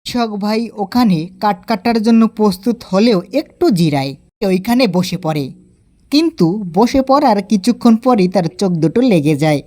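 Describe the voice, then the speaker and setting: A woman's voice: mid-range voice, warm tone. A woman narrator reading a scripted horror story for a cartoon.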